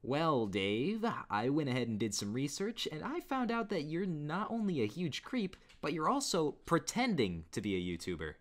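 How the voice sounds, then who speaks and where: A man's voice: fairly high pitch, very animated, moderate pace, hardly any pauses. A young man narrating as a YouTuber in a casual storytelling commentary style.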